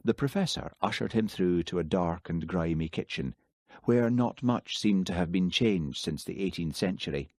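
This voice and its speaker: A man narrating an audiobook in a measured, even storytelling manner. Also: low-pitched, no room sound, clean studio sound. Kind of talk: scripted narration.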